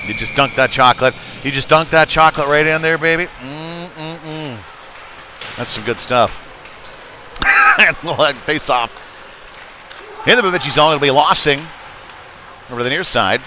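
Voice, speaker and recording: A man's voice: a little high, unhurried, pauses often. A man doing live hockey play-by-play in a folksy, chatty way that lifts with the action. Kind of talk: live sports commentary with casual asides. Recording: noisy live rink ambience.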